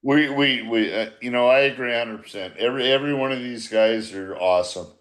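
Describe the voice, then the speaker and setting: A man's voice: medium pitch, fairly quick, fairly bright tone. An older man in a casual, profane group chat on a video call, reminiscing like an old baseball manager with blunt, teasing banter.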